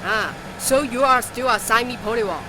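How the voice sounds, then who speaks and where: A woman's voice: on the high side, animated, moderate pace, background noise, natural voice. A woman playing a seasoned, superstitious transport driver in an audio drama, matter-of-fact and assured. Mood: wry, assured. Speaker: voice actor in a fiction podcast.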